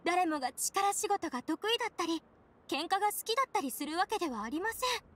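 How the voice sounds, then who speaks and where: Earnest, very high-voiced young woman. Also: animated, fast, fairly bright tone. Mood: grateful, helpful. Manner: polite, earnest explaining. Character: earnest, contented young woman, member of a hobby society. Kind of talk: game dialogue acting.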